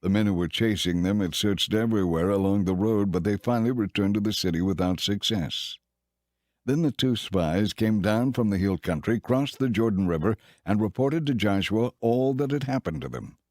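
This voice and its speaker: A man giving measured, solemn scripted narration for a dramatized audio Bible. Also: fairly low pitch, darkish tone, no echo, studio quality.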